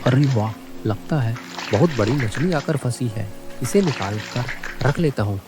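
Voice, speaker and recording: A man voicing a humble, kind and worried poor fisherman in an earnest way for an animated moral story. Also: mid-range voice, measured pace, music in the background, close to an everyday voice. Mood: surprised.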